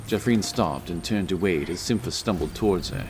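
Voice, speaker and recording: A man's voice: low-pitched, normal speed, noisy background. A man reading scripted narration for a fantasy story as its narrator.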